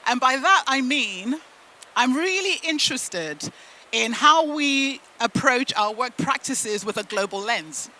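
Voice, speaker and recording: A woman from the UK and Zimbabwe, a native Shona speaker, giving a motivational storytelling talk with deliberate phrasing and emphatic pauses. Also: sits high, animated, pushed and bright, slight background noise, poor audio. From United Kingdom and Zimbabwe. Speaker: keynote speaker at a business event.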